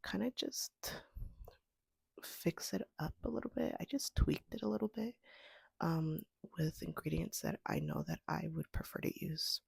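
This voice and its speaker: A woman with a cold, hoarse and sniffling, chatting casually in a soft, half-whispered way as she vlogs from her home. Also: sits low, a little slow, no room sound. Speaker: homestead vlogger. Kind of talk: casual vlog chat. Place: at home, indoors.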